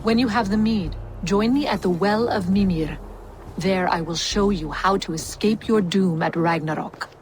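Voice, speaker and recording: An actress playing a cool, condescending giantess who bargains in a fantasy video game. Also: female voice, medium pitch, normal speed, a little mellow, slight background noise, close to an everyday voice. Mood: cold. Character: cold, scheming giantess offering a deal. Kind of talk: video game cutscene acting.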